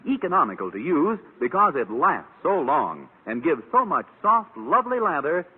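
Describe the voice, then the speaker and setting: A man announcer giving an emphatic sales pitch in a vintage radio commercial. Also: huge pitch swings, moderate pace, breathy edge. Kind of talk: 1940s-50s radio commercial copy reading. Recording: tinny, reverberant old radio broadcast.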